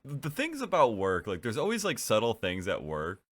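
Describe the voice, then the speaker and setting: A man's voice: mid-range voice, huge pitch swings, moderate pace. A young man with a stuffed-up nose hosting a casual chat, rambling with lots of fillers and giggles. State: stuffed up from allergies. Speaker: podcast host.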